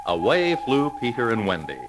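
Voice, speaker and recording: A man's voice: mid-range voice, big pitch swings, normal speed, loud music behind the voice, poor audio. A man narrating a children's adventure story in a dramatic scripted style.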